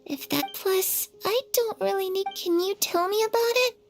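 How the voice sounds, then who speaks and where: A woman voicing a chatty, wistful young girl with a bubbly, expressive, informal way of talking in a video game. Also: extremely high pitch, moderate pace. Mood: teasing. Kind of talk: video game character acting. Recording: music under the voice. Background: game music.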